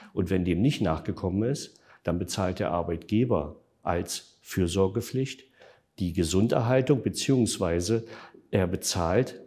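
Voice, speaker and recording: A man answering interview questions in a measured, factual way, with frequent hesitation fillers. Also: fairly low pitch, a little mellow, breathy edge. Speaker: interview guest, developer of an online tool.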